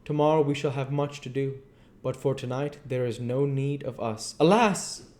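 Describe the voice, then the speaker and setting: A man voicing an elderly foreign professor, speaking in earnest, halting phrases at a raised pitch. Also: a little mellow, close to an everyday voice.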